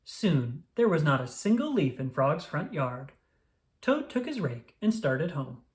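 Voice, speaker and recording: A narrator reading a children's story aloud in an expressive, scripted read-aloud style. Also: mid-range voice, unhurried.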